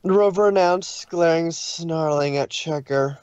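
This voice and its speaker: A man reading fan fiction aloud as an expressive story narrator. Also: a little high, a little slow, clear, resonant tone, dry recording, highs cut off.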